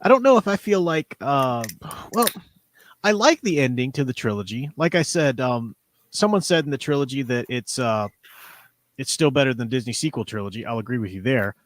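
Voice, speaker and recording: A man's voice: medium pitch, animated, a little fast, dry recording. A man hosting a livestream, talking casually about comics in a rambling, hedging way. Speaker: livestream host.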